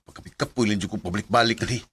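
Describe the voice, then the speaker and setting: A man's voice: lowish voice, rapid-fire, a bit bright, husky. An actor playing a reluctant, grumbling male college student in a Filipino radio drama, with muttering, hesitant delivery.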